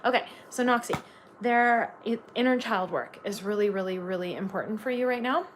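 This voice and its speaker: A woman's voice: medium pitch, moderate pace, slight background noise. A woman from Canada giving a live tarot reading, chatty and gushing, full of "like" fillers. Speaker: tarot reader.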